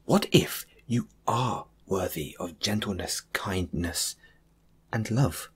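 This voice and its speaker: A man speaking in a soft, breathy, sometimes whispered voice, gently reassuring the listener in an intimate comfort roleplay monologue. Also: deep voice, takes it slowly. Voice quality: breathy, hushed, at times whispering. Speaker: audio roleplay performer.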